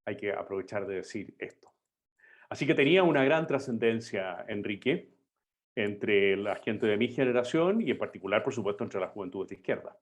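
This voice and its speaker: Older professor lecturing. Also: male voice, on the low side, animated, moderate pace. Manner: digressive, conversational lecturing with fillers. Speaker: history professor. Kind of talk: live online class lecture.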